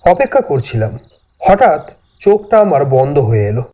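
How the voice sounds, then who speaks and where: A man's voice: a little high, sing-song, moderate pace, warm tone. A man telling a scripted first-person horror story as a dramatic narrator.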